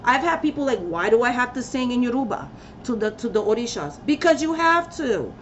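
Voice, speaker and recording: A woman speaks in a fairly high voice, preaching with blunt, rallying emphasis. The sound is dull and lo-fi, with a faint hiss underneath. Her delivery is fervent and direct. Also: very animated, a little fast. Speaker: spiritual practitioner giving a talk. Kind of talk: impassioned personal rant to camera.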